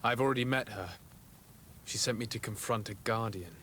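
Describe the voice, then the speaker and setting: An actor playing a courteous, earnest adventurer and envoy in a fantasy game, speaking formally and with composure. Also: male voice, fairly low pitch, normal speed, pushed and bright, a little hiss or hum. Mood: polite, composed. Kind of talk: fantasy video game cutscene acting.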